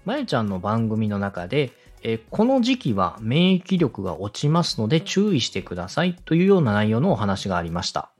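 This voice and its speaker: A man's voice: lowish voice, wildly swooping pitch, brisk, few pauses, faint music behind. A man hosting a show, politely giving step-by-step advice on presenting and slipping in example phrases. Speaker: radio director and podcast host giving advice.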